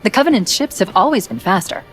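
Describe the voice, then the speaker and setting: A woman's voice: medium pitch, expressive, fast talker, natural voice. A woman playing a wry, self-assured ship's artificial intelligence in a video game, cool and knowing as she briefs. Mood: wry, matter-of-fact. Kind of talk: video game cinematic dialogue. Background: music.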